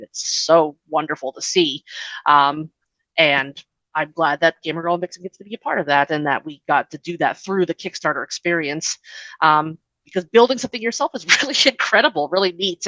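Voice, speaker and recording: A woman with a bright, clear-edged voice that sits a little low, recorded close and dry. She talks as an interview guest, informal and chatty, with plenty of "like" and "you know.". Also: no echo, poor audio. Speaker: interview guest, comics writer and editor. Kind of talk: casual conversational interview.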